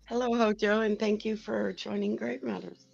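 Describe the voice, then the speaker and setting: A woman hosting a casual podcast, unhurried and friendly as she welcomes guests. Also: mid-range voice, level tone, gravelly, darkish tone. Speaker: podcast host. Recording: dull lo-fi sound with faint music.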